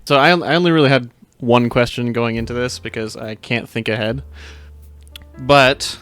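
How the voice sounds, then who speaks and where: Dry-sounding male co-host, medium pitch. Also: steady tone, moderate pace, fairly bright tone, dry recording. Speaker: co-host, interviewer. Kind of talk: casual interview questions. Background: music.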